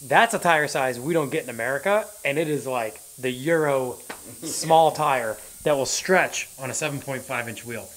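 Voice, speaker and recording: A man in his thirties hosting a car vlog, talking fast and with energy while working in a tire workshop. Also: medium pitch, lively intonation, a bit bright, slight background noise, low-quality recording. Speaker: car builder and vlog host. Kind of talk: casual on-camera vlog. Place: indoor tire workshop garage.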